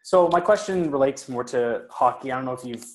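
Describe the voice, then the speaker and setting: Canadian man, hockey coach type, hesitant asker on a webcam, average pitch. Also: brisk, darkish tone, echoey room, low-quality recording. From Toronto, Canada. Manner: hesitant, many fillers, trailing questions. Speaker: hockey strength coach asking questions. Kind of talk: casual video call Q&A. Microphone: webcam on a video call.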